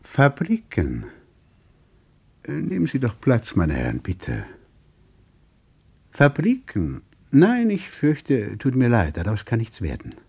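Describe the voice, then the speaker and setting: An actor playing a courteous, evasive Soviet travel official in a radio play, speaking formally and hesitantly. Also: male voice, mid-range voice, wildly swooping pitch, takes it slowly, long pauses, darkish tone, husky, full character voice. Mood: hesitant refusal. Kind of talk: German radio play acting.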